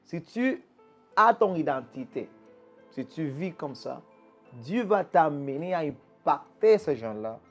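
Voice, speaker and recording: A fairly high-pitched man speaks as a pastor being interviewed. He teaches with emphatic conviction and often stumbles back over a word before pushing on. Faint music plays underneath. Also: sing-song, takes it slowly. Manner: restarts and repeats words, emphatic teaching tone. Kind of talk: earnest preaching-style answers. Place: Quebec.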